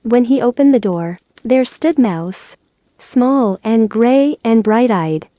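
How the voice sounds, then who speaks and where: A woman reading a children's bedtime story aloud in a measured storytelling manner. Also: fairly high pitch, expressive, mellow, no room sound. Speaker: storybook reader.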